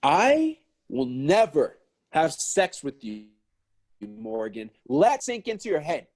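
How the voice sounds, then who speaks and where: An exasperated young guy at a party, as a man reads him in a table read. His voice sits a little high and swings very widely. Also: slow, creaky, heavily acted. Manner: animated, exasperated.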